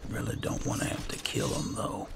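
A man's voice: fairly quick, very noisy. A man doing casual first-person role-play commentary over a game, muttering in a hesitant, laid-back way. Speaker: gameplay host and role-player. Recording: game music under the voice.

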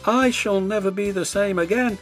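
A man voicing a cheeky, fussy little male tank engine in a high, squeaky voice. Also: takes it slowly, faint music behind. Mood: sad.

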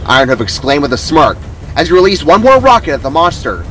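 Animated man narrating an adventure story. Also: a little high, quick pace, noisy background. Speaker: narrator reading own story. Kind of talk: dramatic scripted narration.

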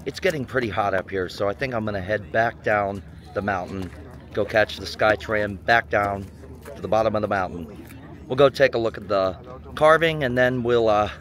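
A man's voice: lowish voice, moderate pace, fairly bright tone. A man talking casually to his viewers while filming outdoors on a hot mountaintop, with a folksy, chatty manner. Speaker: vlogger. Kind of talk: casual travel vlog to camera. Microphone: handheld phone or camera mic.

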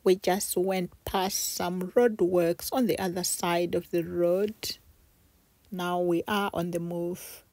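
Slow-paced woman vlogger over background noise. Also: fairly even intonation, a little mellow. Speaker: travel vlogger. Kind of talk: travel vlog narration and casual commentary. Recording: noisy, with vehicle and station rumble.

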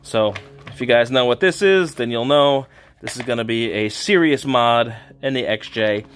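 A man's voice: mid-range voice, moderate pace, clean tone. A man in his thirties hosting a casual car-parts vlog, upbeat and chatty, recorded in his home garage workshop on a camera held at arm's length. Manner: excited, upbeat, chatty with laughs. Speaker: channel host. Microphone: handheld camera at arm's length.

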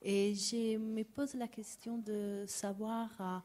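A woman's voice: mid-range voice, level tone, takes it slowly, mellow. An Italian woman with an Italian accent puts a long question at an academic panel, searching for words and repeating them as she goes. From Italy. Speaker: audience member asking a question. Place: lecture hall at a public panel.

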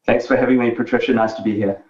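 A man in his forties from New Zealand with a New Zealand accent, a guest on a remote interview, talking in a measured way with many fillers and restarts. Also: mid-range voice, fairly even intonation, darkish tone. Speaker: guest, tech executive. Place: dark home office. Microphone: video call. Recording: roomy, distant, dull lo-fi sound.

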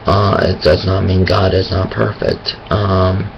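A man talking about his faith in a hesitant, thinking-aloud way, with a raspy voice and many pauses and restarts. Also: low-pitched, level tone, dull audio, a little hiss or hum. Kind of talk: casual monologue on faith.

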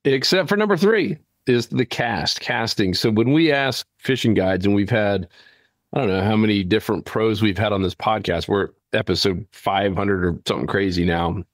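A man's voice: fairly low pitch, moderate pace, dry recording, clean studio sound. A man hosting a podcast, talking casually and explaining his points with emphasis. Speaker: podcast host. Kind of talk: casual podcast talk about fishing.

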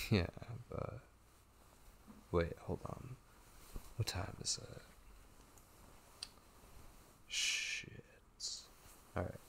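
A man playing a tender, devoted young gamer boyfriend in an ASMR roleplay, speaking softly, intimately and hesitantly with a husky voice. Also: low-pitched, extremely slow, long pauses, background noise, heavily acted. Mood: casual, attentive. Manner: soft, hesitant, intimate, with murmured asides. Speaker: ASMR voice actor.

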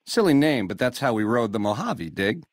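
An actor playing a slick, cocky American casino gangster boss in a video game, swaggering through retro lounge slang. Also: male voice, mid-range voice, very animated, normal speed, dry recording, studio quality. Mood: boastful, reminiscing. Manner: swaggering retro lounge-lizard slang, calls people baby. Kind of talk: video game character dialogue.

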